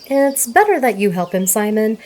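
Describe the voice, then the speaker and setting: An actress playing a caring young woman survivor in an audio drama, speaking gently and solicitously. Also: mid-range voice, very animated, moderate pace, natural voice. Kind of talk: audio drama dialogue, naturalistic.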